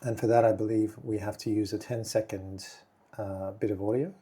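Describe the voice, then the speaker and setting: Male creator, low mellow voice, casual and halting tutorial chat. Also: steady tone, slight background noise. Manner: thinks aloud, halting with fillers and restarts. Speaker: video creator testing software. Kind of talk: casual screen-recording walkthrough.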